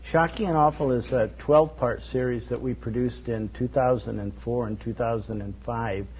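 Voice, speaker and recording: A man as a guest on a talk show, explaining his work earnestly and at a measured pace, with frequent fillers and restarts. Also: medium pitch, dark tone, poor audio. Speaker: guest, documentary network director.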